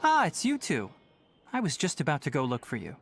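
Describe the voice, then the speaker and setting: A man voicing a young, scholarly forest healer in a video game, calm, frank, measured and articulate. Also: on the high side, gravelly, slight background noise, exaggerated voice. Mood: reassuring. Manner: measured, articulate, formal explaining. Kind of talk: video game cutscene voice acting.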